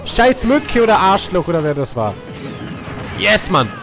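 A young man with a cold, casually commentating a video game he is playing, jumping between excited outbursts and quiet mumbling. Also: unhurried, creaky. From Austria. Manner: excitable, swings between hyped shouts and low mumbling. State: congested from a cold. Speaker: gaming channel host. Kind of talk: casual let's play commentary. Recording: game music playing behind.

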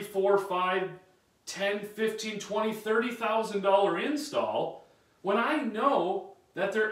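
A man's voice: high voice, fairly bright tone. A man in his thirties giving an emphatic, direct training talk at a whiteboard in a small carpeted room. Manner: emphatic, direct, gestures while standing. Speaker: sales and service trainer. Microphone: camera a few feet away. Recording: echoey room, camera mic at distance.

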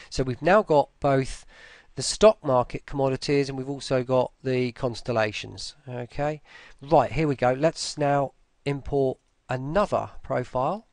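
A man walks through software in an informal, think-aloud style. The voice has a gravelly fry at an average pitch, and the recording is dry, with very little room sound. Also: no echo. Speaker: software tutorial presenter. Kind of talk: casual screen-recorded walkthrough.

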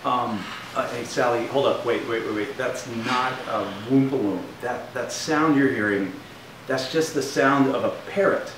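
Man in his 30s, pastor, calm teacherly delivery, mid pitch, roomy distant sound with faint hiss. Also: a little slow. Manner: gentle, reassuring, teacherly. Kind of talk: children's church puppet skit. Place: studio with beach backdrop.

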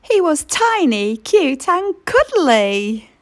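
A woman reading a children's picture book aloud in an expressive storytelling way. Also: high-pitched. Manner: expressive storytelling, slow and lilting.

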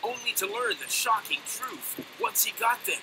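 High-pitched, brash cartoon adventurer. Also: male voice, normal speed, noisy background. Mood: grandly dramatic. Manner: theatrical, self-important. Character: brash, boastful young male adventurer narrating his own heroic tale. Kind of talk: cartoon adventure game voice acting.

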